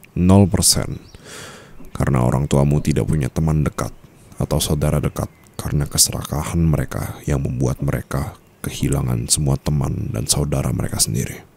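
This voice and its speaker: A man's voice: gravelly, mellow, exaggerated voice. A man playing a calculating, coolly dominant mafia boss husband in an intimate ASMR roleplay, speaking in a teasing, smug manner. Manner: slow, teasing, smug, with low chuckles and hums.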